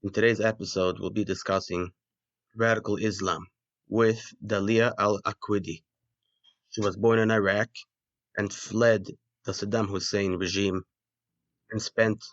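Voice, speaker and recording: A male podcast host with a fairly low voice, polite and measured, reading his opening and posing questions. The sound is close and dry, with no room around it. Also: level tone, no room sound, muffled recording. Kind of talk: scripted intro then interview questions.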